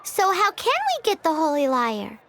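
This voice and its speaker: A woman voicing an excitable tiny female fairy companion in a video game cutscene, squeaky and eager, asking questions and exclaiming. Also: moderate pace, background noise, full character voice. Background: game soundtrack music, loud.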